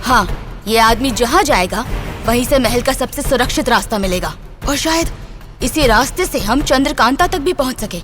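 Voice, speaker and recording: Actress as shrewd, higher-voiced adventurer woman. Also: animated, normal speed, music in the background, natural voice. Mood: shrewd, composed. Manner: composed, knowing. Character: shrewd, confident female adventurer scheming alongside a prince. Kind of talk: Hindi audio drama acting.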